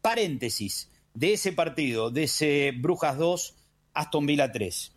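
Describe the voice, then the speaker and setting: A man with an Argentine accent hosting a sports show, analysing football in an emphatic, rhetorical manner with deliberate pauses. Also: a little high, animated. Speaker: sports radio host.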